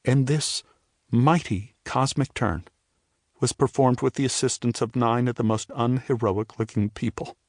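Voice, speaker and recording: An older man giving a scripted, reflective first-person narration for an audio drama, in a measured storytelling manner. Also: medium pitch, darkish tone, no room sound, clean studio sound. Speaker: narrator and playwright telling his own story.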